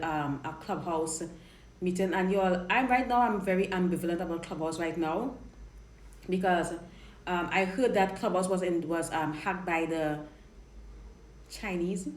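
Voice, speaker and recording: Chatty Trinidadian woman on a live stream. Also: lowish voice. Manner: chatty, frank, repeats and restarts words. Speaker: dating coach hosting a live Q&A. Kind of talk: casual live-stream chat reading viewer questions. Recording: roomy live-stream audio with faint noise.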